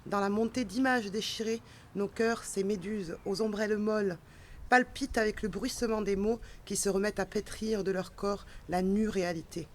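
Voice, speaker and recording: A woman recites poetry to a live gathering. Her pitch is middling for a woman. She reads line by line with measured care. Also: steady tone. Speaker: poetry reader and translator. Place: outdoors at a gallery event.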